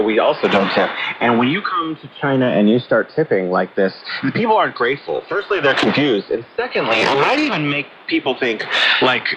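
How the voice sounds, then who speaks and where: A man's voice: mid-range voice, background noise. A man hosting a conversational radio talk show, explaining and telling stories, heard over muffled shortwave reception. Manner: animated, explanatory, storytelling. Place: radio broadcast picked up on shortwave receiver.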